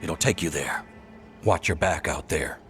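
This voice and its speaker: A man playing a steady ally who gives directions in a video game drama. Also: rumbling low, unhurried, faint music behind. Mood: grave, steady.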